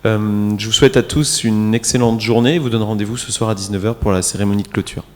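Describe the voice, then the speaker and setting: A man's voice: fairly low pitch, steady tone, quick pace, noisy background. A man moderating a conference, thanking the audience and closing the session. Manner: courteous, formal thanks. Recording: echoey hall.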